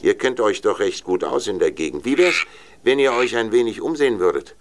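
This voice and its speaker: A man's voice: lowish voice, expressive, a little fast, a little hiss or hum. Commanding older film director played by a man. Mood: businesslike. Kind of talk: German audio drama dialogue.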